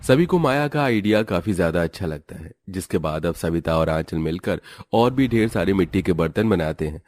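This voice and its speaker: Low-voiced male story narrator. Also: expressive, a little fast, a little mellow, no room sound.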